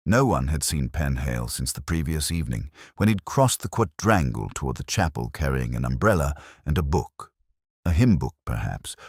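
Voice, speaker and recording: A man with an extremely low voice narrates literary detective fiction in the first person. His delivery is measured and reflective, yet the pitch moves noticeably from phrase to phrase. The recording is dry and close, with very little room sound. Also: husky, no echo.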